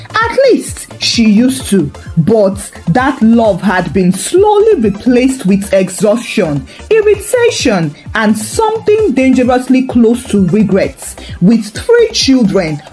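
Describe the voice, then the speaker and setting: A woman's voice: on the high side, darkish tone, low-quality recording. A woman narrating a scripted story with wry, dramatic emphasis.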